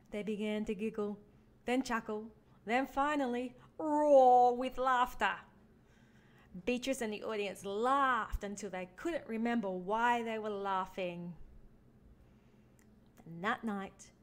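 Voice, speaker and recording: A woman reading a children's picture book aloud as a teaching artist, with expressive emphasis for young listeners. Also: fairly high pitch. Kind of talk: animated children's storybook read-along.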